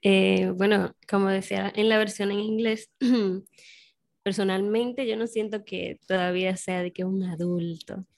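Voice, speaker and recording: A woman with a medium-pitched voice hosting a podcast. She keeps an upbeat tone as she opens the episode and leads the conversation. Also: steady tone, unhurried, vocal fry. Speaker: podcast host.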